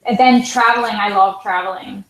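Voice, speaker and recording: A woman's voice: mid-range voice, steady tone, brisk, a bit bright, echoey room, poor audio. A woman from Switzerland with a Swiss German accent, a guest pianist speaking hesitantly with many fillers in a live online interview.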